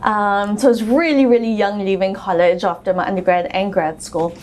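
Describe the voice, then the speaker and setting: A woman's voice: mid-range voice, fairly even intonation, fairly quick, darkish tone, slight background noise. A woman in her thirties with a South African accent, telling her story as an interview guest in a chatty way with frequent ums.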